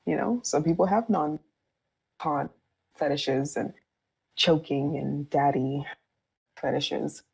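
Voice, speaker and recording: A woman's voice: sits low, slow pace, vocal fry, pauses often, a little mellow, slightly rough, rough recording. A woman speaking candidly and informally on a podcast, explaining her personal views in a casual conversational style.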